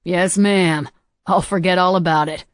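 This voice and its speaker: A woman's voice: lowish voice, normal speed, dry recording, clean studio sound, lightly acted. A woman voicing a teasing, cocky young man with a slight Southern accent, in an audiobook. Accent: slight Southern US drawl.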